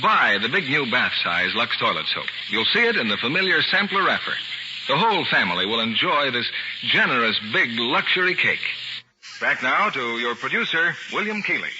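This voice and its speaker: A man's voice: medium pitch, very animated, moderate pace. A man reading scripted 1940s radio advertisements as a commercial announcer. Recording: dull, hissy vintage radio recording.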